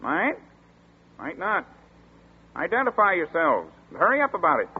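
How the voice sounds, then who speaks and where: An actor playing a chatty small-town sheriff's deputy who talks in a folksy rural dialect, in 1940s radio acting. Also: male voice, high-pitched, wildly swooping pitch, measured pace, slightly rough, exaggerated voice. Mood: gruff. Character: folksy drawling small-town male deputy, middle-aged. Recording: tinny scratchy 1940s radio broadcast.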